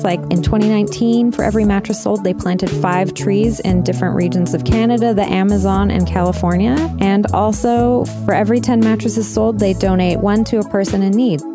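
A woman's voice: mid-range voice, fairly even intonation, fairly quick, hardly any pauses, over music. A woman hosting a podcast, chatting in a joking way and reading a sponsor message in a chatty, upbeat tone. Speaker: podcast host. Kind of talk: casual chat and read sponsor ad.

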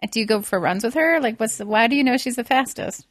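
A woman co-hosting a podcast, chiming in with quick jokes and questions. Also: on the high side, animated, no echo. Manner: quick jokey interjections, laughs often. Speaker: podcast co-host. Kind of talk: casual banter.

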